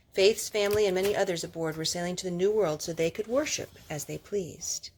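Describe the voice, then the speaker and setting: Woman reading a storybook over background noise. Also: on the low side. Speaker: parent reading a storybook aloud to children. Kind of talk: storybook read-aloud with casual asides to children. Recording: clearly noisy home recording with clicks.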